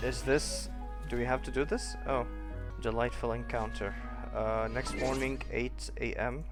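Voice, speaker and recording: A woman's voice: rumbling low, fairly even intonation, a little slow, music in the background. A woman streamer giving casual, reactive commentary while she plays through a video game.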